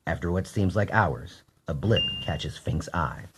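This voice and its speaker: A man's voice: low-pitched, animated, unhurried, fairly bright tone, breathy edge. A man narrating a scripted radio drama in a theatrical storytelling manner.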